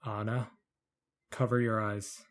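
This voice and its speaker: A man playing a terse, protective father who is a tough fighter in an audio fantasy play, with a husky voice. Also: fairly low pitch, level tone, slow. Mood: urgent, protective. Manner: terse, firm.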